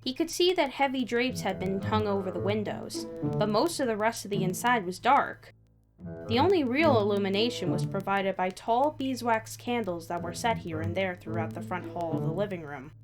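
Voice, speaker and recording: A woman's voice: mid-range voice, expressive, moderate pace. Woman narrating a story over music. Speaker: fan fiction reader. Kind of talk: scripted third-person story narration. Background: background music.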